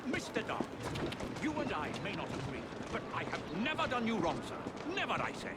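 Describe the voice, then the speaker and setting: An actor playing a blustering, pompous old Victorian scientist with an English accent and emphatic, spluttering delivery in a video game. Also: male voice, high voice, wildly swooping pitch, a little fast, heavy background noise. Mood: pompous, offended. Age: older. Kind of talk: dramatic video game acting.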